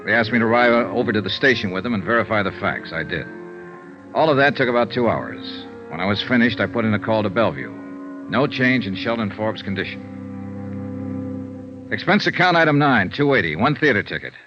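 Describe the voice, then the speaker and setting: An actor playing a cool, terse insurance investigator in a vintage radio noir, speaking and narrating in a dry, matter-of-fact way. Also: male voice, lowish voice, lively intonation, fairly quick, dull audio, natural voice. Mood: dry narration. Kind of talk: 1950s radio noir acting and first-person narration. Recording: vintage radio recording, band-limited, music behind.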